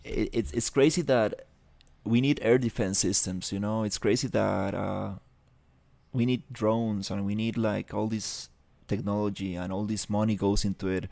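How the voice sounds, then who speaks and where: A young man from Rica, chatting casually on a live stream, halting, with many fillers and restarts. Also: on the low side, steady tone, vocal fry, darkish tone, no echo. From Costa Rica. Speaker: livestreamer.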